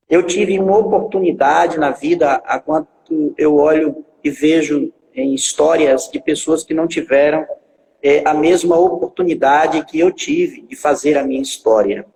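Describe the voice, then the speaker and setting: Gravelly-voiced man in his forties, echoing. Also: fairly high pitch, darkish tone, slight background noise. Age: 40s. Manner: halting, with many filler pauses and repetitions. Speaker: guest pastor. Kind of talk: telling his life story in a live stream.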